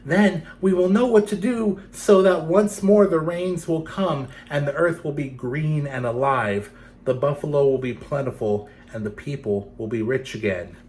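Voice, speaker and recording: A man's voice: fairly high pitch, unhurried, darkish tone, voice pushed up, close to an everyday voice. A man voicing a small, lonely, hopeful girl earnestly talking to her doll in a storybook.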